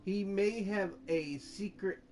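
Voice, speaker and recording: A woman's voice: mid-range voice, slow pace, slight background noise. A woman gaming on her channel, chatting casually and reading out dialogue choices as she plays. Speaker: gameplay streamer. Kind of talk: casual game playthrough, voicing dialogue choices. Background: loud game music.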